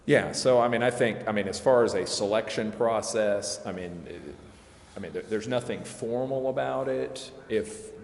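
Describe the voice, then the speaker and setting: A man with a deep voice explains procedure to a council in an informal, hedging way. He speaks in a roomy, echoing chamber with some noise behind him. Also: normal speed, gravelly, a little mellow. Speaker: legal adviser to a city council. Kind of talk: procedural explanation in a public meeting. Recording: echoey, reverberant council chamber with background noise.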